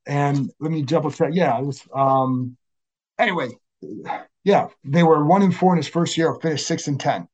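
Man, scratchy voice, slightly high pitch, casual sports podcast host, self-correcting. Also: moderate pace, darkish tone, lo-fi sound. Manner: conversational, self-correcting, many fillers. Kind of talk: solo sports podcast intro.

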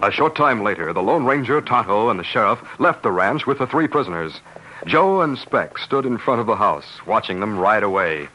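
A man's voice: fairly low pitch, animated, normal speed, sharp tone, a little hiss or hum, low-quality recording. A man with an American accent narrating a classic radio drama. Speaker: narrator and announcer. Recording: old radio recording, music behind.

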